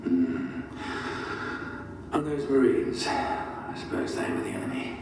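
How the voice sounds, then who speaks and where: A man playing a calm, deliberate interrogator questioning a prisoner in a game audio log. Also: medium pitch, background noise. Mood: probing. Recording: very echoey hall, distant mic.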